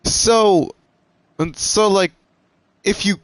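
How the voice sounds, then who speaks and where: A man's voice: high voice, very slow, dry recording, low-quality recording. A man giving casual, exclamatory gameplay reactions, groping for words as he goes. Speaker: gamer playing through a story quest.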